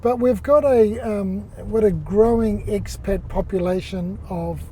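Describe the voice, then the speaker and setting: New Zealand man, halting and mellow. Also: high voice, a little hiss or hum. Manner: halting, many fillers and restarts. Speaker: sports executive guest. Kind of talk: casual interview chat.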